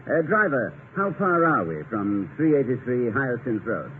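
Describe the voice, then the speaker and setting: A man's voice: mid-range voice, very animated, moderate pace, close to an everyday voice. A dignified, slightly fussy middle-aged academic husband in a vintage radio comedy, acted by a man. His cultured voice moves freely up and down and stumbles bashfully. The sound is thin and narrow, with light hiss. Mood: brisk, anxious. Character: dignified, bashful college president husband, easily flattered. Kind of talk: 1950s radio comedy acting. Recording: tinny, noisy 1950 radio recording.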